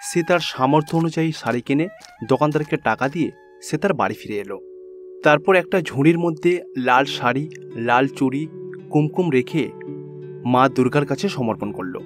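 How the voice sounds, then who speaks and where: A man's voice: mid-range voice, expressive, moderate pace, long pauses, soft background music. A man narrating a scripted children's fairy tale as a storyteller.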